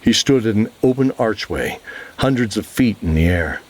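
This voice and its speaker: A man's voice: on the low side, animated, moderate pace. A man working as narrator, reading scripted fantasy narration. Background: music.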